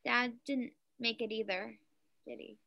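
A woman's voice: a little high, fairly even intonation, a little slow, creaky, muffled recording. A young woman reading the part of a plucky, sardonic daughter in a play over a video call. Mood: shaken. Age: young adult. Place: room at home. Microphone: computer mic on video call.

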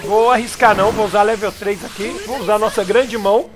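A hoarse man with a Brazilian Portuguese accent, commenting excitedly on his own soccer game and calling out special moves. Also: high voice, brisk. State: hoarse from a cold. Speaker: gaming YouTuber. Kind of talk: let's play commentary. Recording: game music behind. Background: game music.

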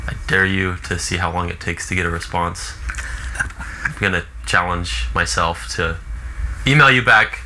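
A man's voice: low voice, steady tone, normal speed. An American man in his twenties chatting casually inside a small camper. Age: 20s. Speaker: customer experience staffer. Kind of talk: casual chat. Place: small camper living area indoors. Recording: faint background noise.

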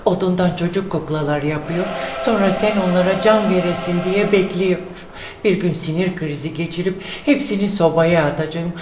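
A woman's voice: fairly low pitch, normal speed, echoey room, noisy background, natural voice. A woman playing an exasperated childless wife. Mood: complaining prayer. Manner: complaining, exasperated. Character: frustrated childless wife praying for a baby.

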